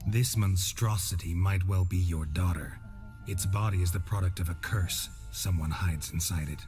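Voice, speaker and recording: A man as a very deep, laconic monster hunter. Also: normal speed, soft background music, close to an everyday voice. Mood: matter-of-fact. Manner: deadpan, terse. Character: laconic, dry, world-weary male monster hunter. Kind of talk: video game cutscene acting.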